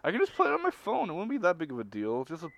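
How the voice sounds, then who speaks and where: A man co-hosting a casual group stream chat, speaking haltingly and often rephrasing as he goes. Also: sits high, wildly swooping pitch, gravelly, fairly bright tone. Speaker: stream co-host.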